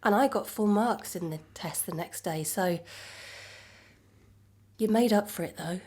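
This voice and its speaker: An actress playing a devoted, forgiving young girlfriend in an intimate audio drama, conversational and softly reassuring. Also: mid-range voice, measured pace, breathy edge. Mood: nostalgic.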